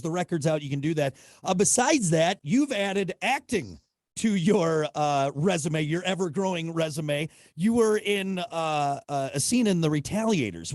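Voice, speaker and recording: A man's voice: on the high side, darkish tone, no room sound, clean studio sound. A man hosting an interview, chatty and repeating phrases as he asks questions. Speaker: show host, interviewer. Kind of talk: casual interview chat.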